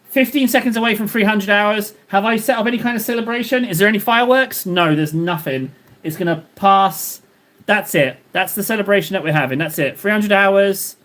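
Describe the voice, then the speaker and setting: A man with a fairly high voice chats casually on a live stream as he paints. He rambles, cracks self-deprecating jokes and answers his viewers in a loose, playful way. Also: fairly quick, fairly bright tone. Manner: rambling, jokey, banters with chat.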